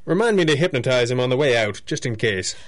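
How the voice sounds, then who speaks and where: A man plays an unflappable masked crime-fighter from a 1930s radio serial. His voice sits at an ordinary male pitch. He reasons crisply and commands like a boss who is used to being obeyed. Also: animated, fast, lightly acted. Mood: dry, pondering. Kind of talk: 1930s-set radio superhero drama acting.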